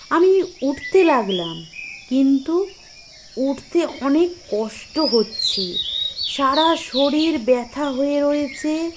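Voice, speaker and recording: A young woman tells a ghost story in the first person, slowly and with fearful tension. Her voice sits fairly high. The recording carries steady noise and clicks. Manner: slow, tense, fearful storytelling. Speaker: narrator.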